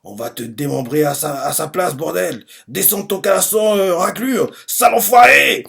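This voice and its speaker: A man doing furious, vengeful manga soldiers, pitch raised. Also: big pitch swings, normal speed, gravelly, husky, full character voice. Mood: furious, threatening. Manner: shouted insults, indignant. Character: furious vengeful male soldiers, crude and threatening.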